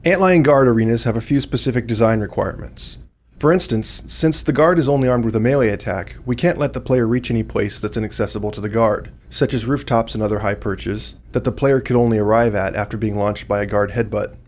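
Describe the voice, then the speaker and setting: A man's voice: medium pitch, a little fast, darkish tone. A man reading scripted commentary as a game developer.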